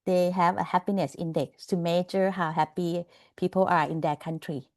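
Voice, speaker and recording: A woman's voice: fairly low pitch, fairly even intonation, normal speed, darkish tone. A woman giving an online class over a video call, chatty and laughing often, checking in with "okay?" and "you know". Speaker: lecturer.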